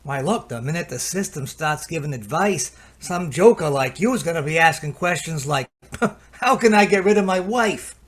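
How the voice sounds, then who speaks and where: An actor playing a chatty, excitable technician with an American accent, colloquial, in an old-time radio drama. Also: male voice, fairly high pitch, normal speed. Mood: dismissive. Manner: stammering when flustered, colloquial. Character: excitable working-man technician, chatty and easily alarmed.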